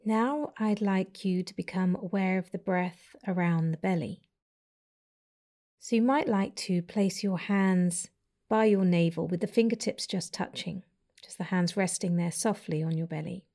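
A woman leads a guided breathing meditation in a mellow, slightly low voice. She gives slow, soft, soothing instructions and leaves long pauses between them.

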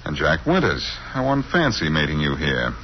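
An actor playing a cool, glib amateur sleuth in 1940s radio style, on an old muffled recording. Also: male voice, rumbling low, wildly swooping pitch, normal speed, slight background noise, exaggerated voice. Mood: cool, defiant. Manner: glib, teasing. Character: wisecracking, cool, unflappable amateur sleuth man.

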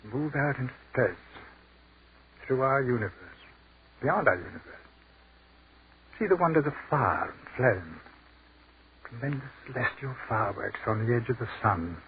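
Articulate army captain played by a man, crisp and lyrical, mid-pitched voice, tinny hissy old radio recording. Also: lively intonation, normal speed, long pauses, natural voice. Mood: measured. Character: educated male army captain, dreamy and commanding. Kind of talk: 1940s radio acting.

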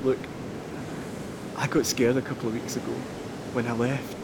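A male actor plays an earnest man pleading with an estranged partner. He speaks at a middle pitch in halting, repetitive, confessional phrases peppered with swearing. A very loud wash of surf-like rumble runs behind this audio drama. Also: flat delivery, natural voice. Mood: confessional, remorseful. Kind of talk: naturalistic audio drama acting.

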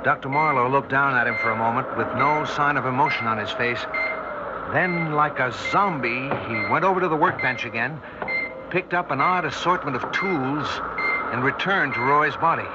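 An actor playing a haunted male scientist, narrating in tense, deliberate 1960s radio drama style with dramatic pauses. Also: mid-range voice, very noisy, lightly acted. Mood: horrified. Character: haunted younger male scientist recounting a terrifying memory. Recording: dull 1960s radio recording, loud dramatic music.

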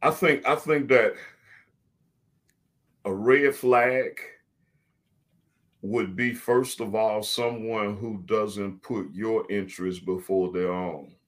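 A man who is a pastor, speaking as a guest in a somewhat low voice. He answers hesitantly and fills his pauses. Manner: hesitant, many fillers. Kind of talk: casual interview answers.

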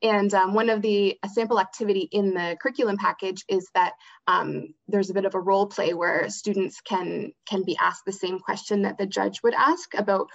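A woman's voice: mid-range voice, fairly even intonation, moderate pace, creaky. A woman explaining things as an interview guest, on a dull, lo-fi recording. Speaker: interview guest, theatre education manager and actor. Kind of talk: interview conversation.

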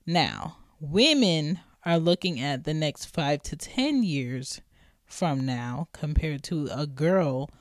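Blunt, profane woman podcast host in her thirties, low voice, dry close sound. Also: animated, slow, dry recording. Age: 30s. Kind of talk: casual monologue.

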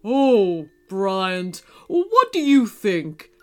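A woman voicing a jolly, kind old male Santa who laughs a booming ho-ho. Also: big pitch swings, drawn-out words, soft background music, exaggerated voice. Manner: booming ho-ho laughter, hearty and loud.

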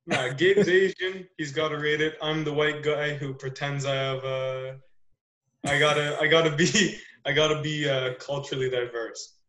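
A man's voice: fairly high pitch, steady tone, moderate pace, fairly bright tone, roomy sound. A young man from Canada, hosting a casual podcast, teasing his friends and running the questions. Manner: joking, teasing, runs the agenda. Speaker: podcast host.

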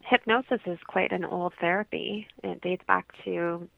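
A woman's voice: on the low side, steady tone, normal speed, gravelly, dry recording. A woman explaining things informally as a guest in a radio interview, heard in dull lo-fi audio.

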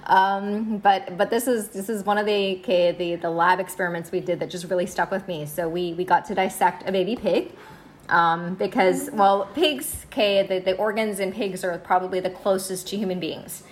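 A woman in her forties, a high school science teacher, telling her story in a casual interview with many fillers and easy laughs. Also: steady tone, moderate pace. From United States. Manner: chatty, many fillers and "okay"s, laughs easily.

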